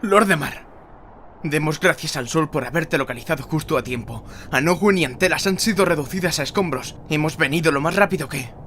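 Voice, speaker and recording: A man's voice: fairly high pitch, a little fast, fairly bright tone, a little hiss or hum, lightly acted. An actor playing an earnest male elf ranger officer in a fantasy radio drama, speaking in a formal, dramatic way. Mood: urgent concern. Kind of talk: fantasy audio drama acting.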